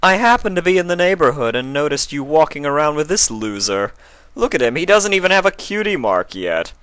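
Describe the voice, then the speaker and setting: A man's voice: fairly high pitch, very animated, brisk, a bit bright, clear, resonant tone, dry recording, voice pushed up. A man voicing an arrogant, haughty male unicorn prince villain in a pompous, sneering manner for a cartoon fan story.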